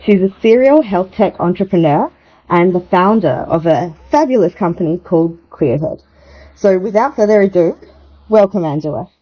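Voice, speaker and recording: A woman hosting an interview podcast, asking questions in a measured, polished presenter manner. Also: lowish voice, lively intonation, muffled recording. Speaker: podcast host. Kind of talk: scripted show intro and interview questions.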